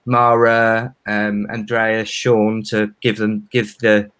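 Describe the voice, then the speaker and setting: A man's voice: on the low side, completely flat delivery, slow, fairly bright tone, clear, resonant tone. A man in his thirties on a video call panel, earnestly explaining an idea with small restarts. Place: home room. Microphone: webcam on a video call.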